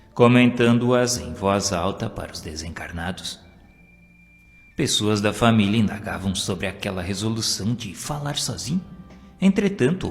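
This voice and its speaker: A Brazilian man reading a scripted story as an audiobook narrator. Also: lowish voice. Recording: long hall reverb, music behind. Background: background music.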